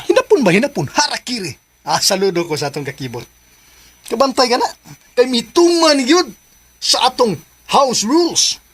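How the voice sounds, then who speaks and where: A woman's voice: on the high side, big pitch swings, moderate pace, breathy edge. A woman acting in a Filipino radio drama as a mocking, cackling boss, with a Cebuano accent and repetitive, theatrical patter. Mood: mocking, bossy. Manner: theatrical, repetitive filler words.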